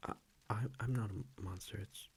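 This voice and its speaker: A man playing a tender, blunt vampire boyfriend in an intimate ASMR roleplay, murmuring softly and haltingly close to the mic. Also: fairly low pitch, steady tone, slight background noise, lightly acted. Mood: flustered, apologetic. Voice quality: breathy, gravelly murmur, often whispered. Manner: soft, halting, affectionate, with small pauses. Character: tender, blunt young male vampire boyfriend, teasingly possessive. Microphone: close microphone.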